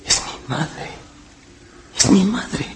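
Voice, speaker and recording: Earnest young wolf cub. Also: male voice, drawn-out words, a bit bright, slight background noise. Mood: bewildered, hurt. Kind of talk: radio drama acting.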